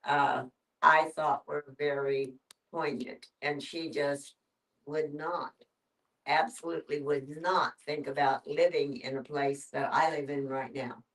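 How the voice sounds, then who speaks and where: A woman's voice: deep voice, fairly even intonation, unhurried. An older woman casually sharing her thoughts in a group discussion. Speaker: audience participant. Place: live group gathering in a room. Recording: distant, off-mic pickup.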